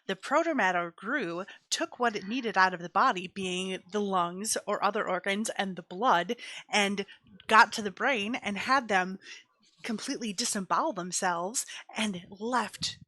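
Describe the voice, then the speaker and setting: A woman with a bright, forceful voice of middle pitch in a very dry recording. She is a player in a tabletop game, chatting casually and spinning theories. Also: measured pace, dry recording.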